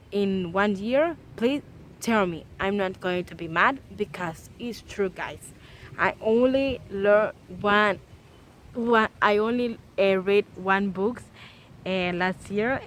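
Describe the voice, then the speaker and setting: Woman with Salvadoran Spanish accent, slow earnest vlog talk, faint traffic behind. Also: mid-range voice, fairly bright tone. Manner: earnest, repetitive, with many pauses and "eh" fillers. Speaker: vlogger. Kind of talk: casual vlog to camera.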